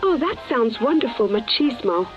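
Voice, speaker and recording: A woman's voice: on the high side, very animated, normal speed. A woman playing a thug's meek, adoring and submissive mother in a parody radio drama. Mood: meek, doting. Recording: muffled old-radio sound with music.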